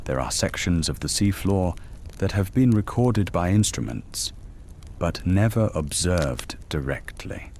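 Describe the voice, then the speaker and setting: Super deep guy doing a sleep story, slow and hushed, whispery in spots. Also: creaky, slight background noise. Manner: calm, hushed, drifting into whispers. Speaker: bedtime storyteller.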